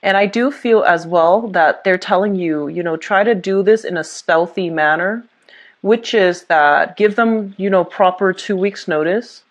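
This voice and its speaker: A woman's voice: on the low side, a little slow. A woman giving a tarot reading to viewers, talking in a reassuring way with many fillers and restarts. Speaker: tarot reader.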